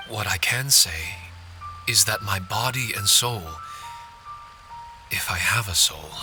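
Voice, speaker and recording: A man voicing a gentle, polite spirit warrior in a video game, earnest and a little hesitant. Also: on the low side.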